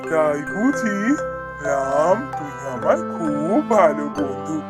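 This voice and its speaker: A man's voice: on the high side, sing-song, slow, loud music behind the voice. A man voicing a simple-hearted, trusting woodcutter in a children's fairy-tale cartoon, speaking earnestly. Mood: grateful, friendly.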